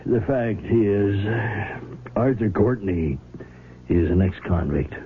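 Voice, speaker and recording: An actor playing a worried, middle-aged small-town father, hesitant and halting, in a 1970s radio drama. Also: on the low side, expressive. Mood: reluctant, confessing. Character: worried small-town father, reluctant, steady family man. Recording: narrow noisy vintage radio broadcast.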